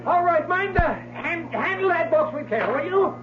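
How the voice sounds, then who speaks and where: An actor playing an irritable, secretive, flustered gentleman traveler barking orders in a vintage radio drama. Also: very high-pitched, animated, moderate pace, over a noisy crowd. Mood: frantic, angry. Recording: muffled vintage radio recording, music behind.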